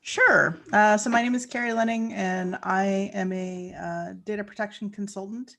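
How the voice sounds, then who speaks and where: A woman's voice: medium pitch, fairly even intonation, normal speed, vocal fry, fairly bright tone. An American woman, a data protection consultant, introducing herself in a chatty, self-deprecating way. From United States.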